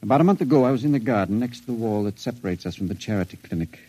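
An actor playing an intelligent, sensitive long-term sanitarium patient in an old radio drama, speaking in a measured, cautious, educated way. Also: male voice, on the low side, expressive, warm tone, low-quality recording. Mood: uneasy, confiding.

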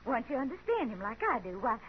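A woman's voice: fairly high pitch, big pitch swings, fast talker. A woman playing a talkative, meek old frontier mother in a radio Western, with a folksy rural American accent. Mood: anxious, pleading. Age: older. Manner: folksy, chatty, apologetic. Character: lonely, talkative old frontier mother, meekly devoted to her son. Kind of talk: 1950s radio Western acting. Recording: muffled, noisy 1950s radio recording.

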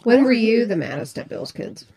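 Husky woman in lively casual chat. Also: a little high, moderate pace, no echo.

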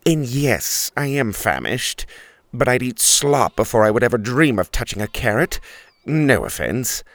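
A man's voice: medium pitch, sing-song, fairly bright tone, no room sound. A man voicing a pompous, grumpy male turkey who complains with a haughty, sneering drawl. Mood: complaining. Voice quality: haughty, sneering, drawn-out delivery.

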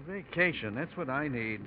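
An actor playing an affable, hesitant, slightly ailing doctor in an old-time radio drama. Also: male voice, medium pitch, animated, a little slow, natural voice. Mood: polite, weary. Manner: hesitant, genial, rambling politely. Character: affable, chatty male mission doctor, slightly under the weather. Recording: muffled vintage radio recording, faint noise.

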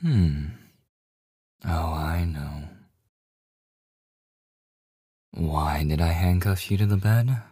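A man's voice: low voice, slow pace, warm tone, slightly rough, no echo, clean studio sound, full character voice. A man playing a devoted, slightly obsessive boyfriend in an intimate ASMR audio roleplay, with a hesitant, stammering manner. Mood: accommodating. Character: devoted, nervously joking boyfriend hiding obsessive yandere streak. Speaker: voice actor.

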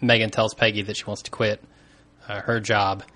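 Male co-host, relaxed and joking, bright tone, casual episode recap. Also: fairly low pitch, normal speed. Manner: laid-back, jokey, laughs easily. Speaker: podcast co-host.

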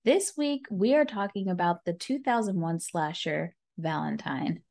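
A woman's voice: fairly low pitch, lively intonation, a little slow, darkish tone. A woman in her thirties co-hosting a casual podcast, teasing and upbeat. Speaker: podcast co-host. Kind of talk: casual chat.

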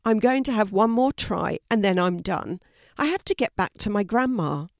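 A woman's voice: mid-range voice, animated, normal speed, gravelly, no echo, studio quality. A woman voicing a kind, helpful and slightly hesitant young girl in a children's storybook. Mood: determined. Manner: hesitant, apologetic.

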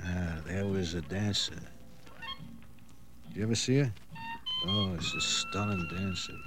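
Slow, wildly swooping broken drunk. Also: male voice, mid-range voice, wildly swooping pitch, over loud music. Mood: wistful, rambling. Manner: halting, rambling, repeating himself. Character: broken, pleading down-and-out drunk ex-nightclub owner. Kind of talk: old-time radio drama acting.

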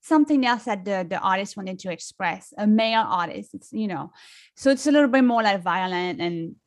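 A woman's voice: medium pitch, animated, a little fast, no echo, clean studio sound. A middle-aged woman with a French accent, chatting as a songwriter guest in an interview, with many fillers and restarts. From France. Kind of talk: casual interview chat.

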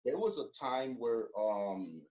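A man telling his story as an interview guest, in a relaxed way full of street slang, fillers and restarts. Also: mid-range voice, slow pace, dull audio. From Los Angeles. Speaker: interview guest, former gang member.

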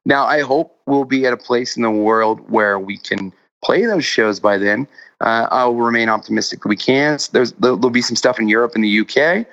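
A man chatting casually as a musician guest in an interview, with a halting, rambling way of talking that often restarts mid-thought. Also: mid-range voice, creaky, a bit bright, dull audio. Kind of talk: casual remote interview chat.

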